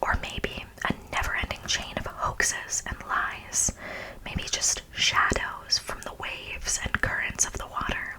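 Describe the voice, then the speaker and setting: Young female ASMR host, fully whispered and breathy, chatty informal delivery with mock seriousness. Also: slow, poor audio. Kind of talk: whispered ASMR, casual presentation.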